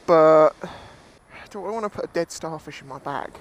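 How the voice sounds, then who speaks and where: A man's voice: fairly high pitch, moderate pace, slight background noise. A British man giving casual, jokey, sweary commentary as he vlogs outdoors on a seashore. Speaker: beachcombing vlogger.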